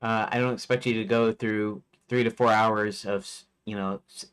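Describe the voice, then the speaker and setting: A man's voice: lowish voice, steady tone, moderate pace, fairly bright tone, smooth. A man in his forties, a game developer chatting casually on a live stream, rambling with many fillers and restarts. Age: 40s.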